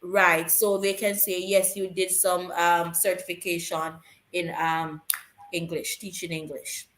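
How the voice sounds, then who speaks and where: A woman's voice: on the low side, flat delivery, a little slow, fairly bright tone, reverberant. A woman co-hosting a live stream, answering viewers' questions about teaching jobs and repeating her key points to stress them.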